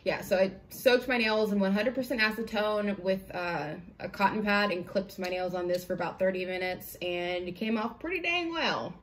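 A young American woman talks casually to camera like she is chatting with friends. Her voice sits at an average female pitch, with informal, slangy phrasing. She is recorded at home on a camera microphone, with a slight noise behind her. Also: moderate pace, hardly any pauses. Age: young adult. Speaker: product reviewer vlogger. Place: small home room, indoors.

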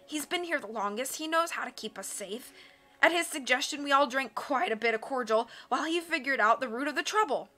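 A woman voicing a poisoned, accusing female islander in a storybook, with a hoarse voice. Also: sits high, animated, fairly quick, sharp tone, soft background music, voice pushed up, heavily acted.

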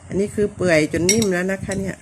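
A woman's voice: mid-range voice, fairly even intonation, fast. A middle-aged woman chatting casually and homely as a vlog host, talking through home cooking while serving food. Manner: homely, chatty, addressing both viewers and companion. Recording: clear background noise, clinking dishes and cutlery. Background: clinking cutlery and dishes.

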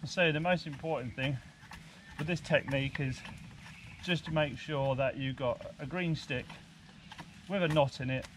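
Higher-pitched man giving casual outdoor demonstration. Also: measured pace. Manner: informal, talking while working with hands. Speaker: bushcraft instructor. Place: outdoors in woodland. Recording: clear outdoor background noise, woodland ambience.

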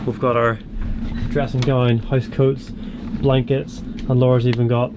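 A man's voice: medium pitch, level tone, unhurried. Wry young man in a noisy cabin. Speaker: sailing vlogger. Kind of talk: casual chat to camera. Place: small wooden boat cabin indoors. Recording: noisy background.

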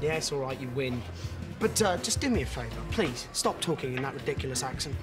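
Exasperated young British man, played by an actor, animated pitch. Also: quick pace, music in the background, natural voice. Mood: weary, irritated. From Britain. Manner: indignant, sarcastic. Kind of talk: sitcom acting.

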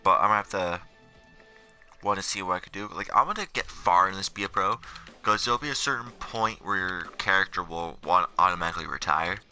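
Very deep-voiced teenage guy, tired, bright edge. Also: fairly quick. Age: late teens, seventeen. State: tired, up in the middle of the night. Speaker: video gamer narrating his career mode. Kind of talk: casual gameplay commentary, rambling. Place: voice-over on game menu footage. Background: music.

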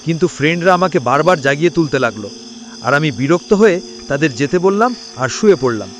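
A man telling a first-person ghost story as narration for a cartoon, in a lively storytelling manner. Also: on the high side, fairly quick, slight background noise. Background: loud music with crickets chirping.

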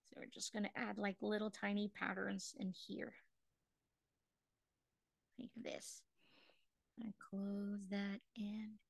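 Slow, chatty Filipino-born woman teaching a craft. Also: medium pitch, level tone, vocal fry, husky, dry recording. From Philippines. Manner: chatty and self-mocking, laughs often, sings snatches of songs while drawing. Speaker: online craft class instructor. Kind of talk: live online drawing class, chatting with viewers.

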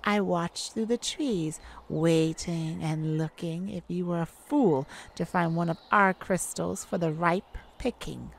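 A woman's voice: lowish voice, slow pace, creaky, few pauses, heavily acted. A woman voicing a wicked, taunting forest nymph in a theatrical ASMR roleplay, teasing and menacing, drawing out her words. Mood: sly menace.

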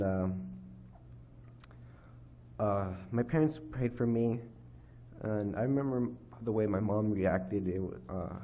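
A teenage boy speaks into a lectern microphone in a church hall, telling his testimony in a halting way, full of "uh" and "like." His voice is on the low side and mellow, never sharp. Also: dull audio, a little hiss or hum. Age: teens. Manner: halting, many fillers and restarts. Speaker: youth giving a church testimony. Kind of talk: personal testimony speech. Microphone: microphone on a lectern stand.

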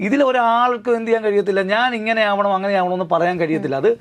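A man giving legal commentary as a studio guest, talking fast and answering his own rhetorical questions. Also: sits high. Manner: rapid, repetitive, keeps asking himself rhetorical questions. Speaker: lawyer guest.

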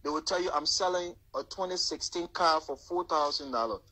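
A middle-aged Liberian man with a Liberian English accent speaks through earphones on a phone video call from his car. His voice is bright and a little husky, and he stumbles through repeated words as he explains himself. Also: on the high side, a little fast, rough recording. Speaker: guest on a live video call. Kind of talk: casual live-stream chat. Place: inside a parked car.

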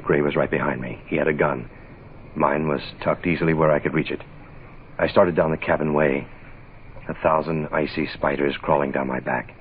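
A world-weary private eye in a vintage radio drama. His voice is extremely low and his narration is clipped noir. The recording is narrow and faintly noisy. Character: hard-boiled, world-weary male private investigator. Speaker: actor narrating as detective. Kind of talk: 1960s radio detective drama acting.

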